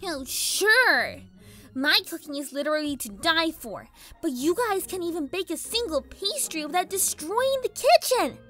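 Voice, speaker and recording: Bratty little cartoon pony girl, super high and sharp, sarcastic, swoops around, music behind. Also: sing-song, a little slow, exaggerated voice. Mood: exasperated outrage. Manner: sarcastic, outraged. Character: shrill exasperated little girl pony villain.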